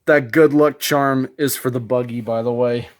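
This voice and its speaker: A man's voice: medium pitch, steady tone, moderate pace, slight background noise. A man presenting his hobby collection in a casual show-and-tell style, talking from home.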